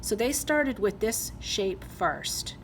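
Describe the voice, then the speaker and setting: A middle-aged woman teaching an art lesson, talking gently and patiently with plenty of ums. Her voice sits at an ordinary pitch. A clear background noise runs under her words. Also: sing-song, slow. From Rhode Island, USA. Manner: gentle, step-by-step explaining with frequent ums.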